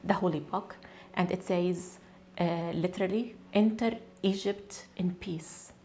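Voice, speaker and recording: Woman, Arabic first language, speaking English, interview guest, earnest, halting, lowish voice. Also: steady tone, warm tone, a little hiss or hum. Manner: earnest, halting, with frequent restarts. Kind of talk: conversational interview.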